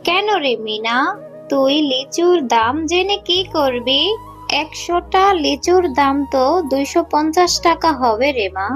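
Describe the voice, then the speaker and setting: Woman as a high-voiced, plaintive mother fox. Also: animated, unhurried, soft background music. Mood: surprised. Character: poor, worried mother fox, tenderly chiding. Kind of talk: cartoon dubbing.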